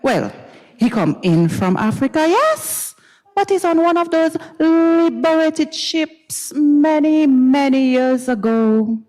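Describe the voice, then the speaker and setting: A woman with a Grenadian accent giving a dramatized story reading, expressive and gossipy, with musing hums and pauses. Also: sits high. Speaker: dramatic reader. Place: university recital hall stage. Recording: reverberant recital hall.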